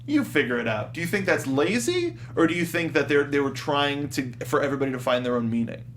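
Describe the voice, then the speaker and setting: Higher-voiced man co-hosting, some background noise. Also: sing-song, quick pace, fairly bright tone. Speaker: host of a film review show. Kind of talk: casual panel discussion.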